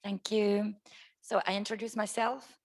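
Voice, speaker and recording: Italian female director, fry in the voice, hesitant intro. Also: mid-range voice, level tone, measured pace. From Italy. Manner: hesitant, frequent fillers. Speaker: library and foundation director. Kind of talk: roundtable self-introduction. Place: meeting room at a foundation.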